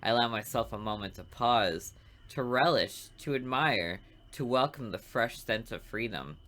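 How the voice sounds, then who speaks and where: A man voicing a smug, artistic serial killer who narrates a visual novel with deliberate, theatrical, morbid relish. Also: medium pitch, expressive, fairly bright tone, lightly acted. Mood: savoring.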